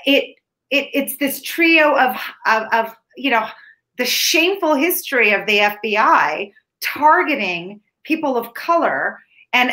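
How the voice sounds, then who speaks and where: A bright, fairly high-voiced woman from Canada whose first language is Ukrainian, talking through a film review on a video call from home. She rambles a little, restarting phrases and piling on fillers as she goes. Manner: talkative, many restarts and fillers. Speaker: film critic. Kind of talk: casual film review chat. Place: home room.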